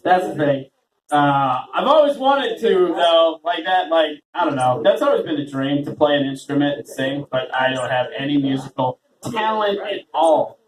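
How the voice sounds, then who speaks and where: A man rambles through a stand-up set at an open mic, laughing at his own jokes and poking fun at himself. His voice sits high and swings widely in pitch. It is captured from far off in a roomy space, with chatter from the crowd behind him. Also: animated, normal speed. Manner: rambling, self-deprecating, laughs often. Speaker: open mic host and comedian. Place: small open mic venue with a talking audience. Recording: roomy audience recording from far off.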